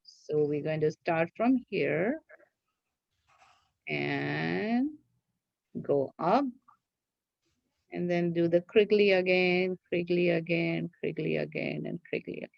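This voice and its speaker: Female craft teacher for kids, unhurried and patient, repeats each step; dry, slightly dull lo-fi sound. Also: on the low side, creaky, no echo. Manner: patient, repetitive, checks with listeners. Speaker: teacher leading a children's craft class. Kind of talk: step-by-step craft instruction for children.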